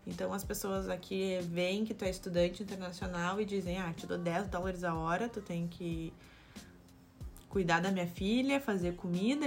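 A woman with a southern Brazilian accent speaks in a somewhat low voice over faint music. Her talk is casual and conversational, with tag questions that look for agreement. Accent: southern Brazilian Portuguese. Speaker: international student and job seeker abroad. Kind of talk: casual chat.

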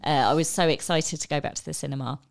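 A woman's voice: low-pitched, steady tone, quick pace, creaky. A woman co-hosting a podcast, chatting enthusiastically about a film, with lots of fillers and restarts. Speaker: podcast co-host. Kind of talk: casual film discussion.

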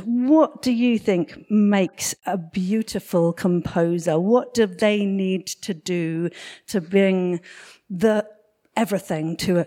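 A woman's voice: mid-range voice, darkish tone. A woman hosting a live stage interview, speaking in an effusive, emphatic way. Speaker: interview show host. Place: live podcast festival stage, indoors. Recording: live stage in reverberant hall.

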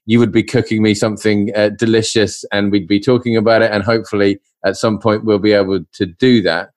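A male podcast host chats loosely and jokes with his guests. He hesitates often, trailing through uhs and restarts. His voice sits low. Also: flat delivery, a little fast. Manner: hesitant, many fillers, jokey. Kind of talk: casual podcast interview.

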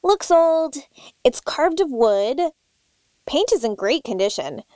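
Woman, comedy lead, high and lively, cheerfully unbothered. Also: slow, no room sound, clean studio sound. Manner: bubbly, oblivious, cheerfully unbothered. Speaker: cast member. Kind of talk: comedic audio sketch.

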